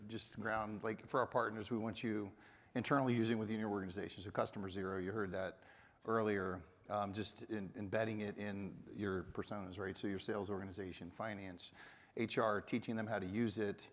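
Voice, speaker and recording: A man business leader speaking briskly on a conference panel, full of corporate jargon. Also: fairly low pitch, little pitch movement. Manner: brisk, jargon-heavy, quick-fire. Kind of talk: panel discussion. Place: conference stage. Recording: long hall reverb.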